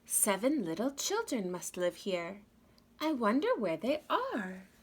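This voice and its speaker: A woman voicing a sweet, innocent young princess in a children's storybook, polite and gently wondering. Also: medium pitch, big pitch swings, a little slow, slightly rough. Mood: curious. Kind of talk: children's storybook read-aloud.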